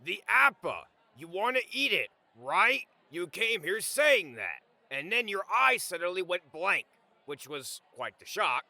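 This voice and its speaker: A man playing a gruff, impatient, muscular fruit vendor with a brusque, scolding delivery in an anime-style fandub. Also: sits high, sing-song, measured pace, pushed and bright, no echo, exaggerated voice.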